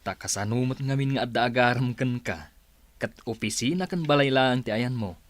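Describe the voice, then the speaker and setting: An actor playing a caring older brother who gently advises his younger sister in an Ilocano radio drama. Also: medium pitch, normal speed, no room sound, close to an everyday voice. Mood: concerned.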